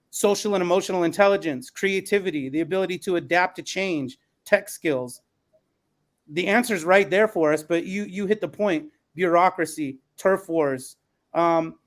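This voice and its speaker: High-pitched male podcast host. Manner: articulate, rhetorical, emphatic listing. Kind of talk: moderating an online panel discussion. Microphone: online call.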